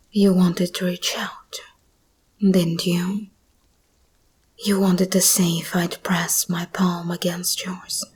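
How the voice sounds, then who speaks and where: A woman playing an escaped hybrid monster girl, possessive yet tender, in an intimate, breathy whispered ASMR roleplay. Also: fairly low pitch, darkish tone, heavily acted. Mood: longing, intimate. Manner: slow, intimate, intense.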